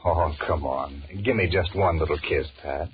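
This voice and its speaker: A restless married businessman in a 1940s radio drama, on a muffled, hissy old recording. His voice is extremely low, and he grumbles and wheedles wearily. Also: moderate pace. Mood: flirtatious, grumbling. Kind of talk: 1940s radio drama acting, inner monologue and dialogue.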